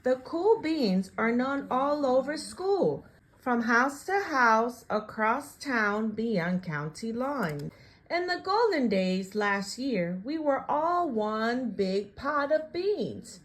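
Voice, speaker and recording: A woman reads a picture book aloud for young children. Her voice sits fairly high and swings widely in pitch. She takes her time, speaking slowly and deliberately, and acts out the story as she goes. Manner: expressive, slow and deliberate, acting out the story.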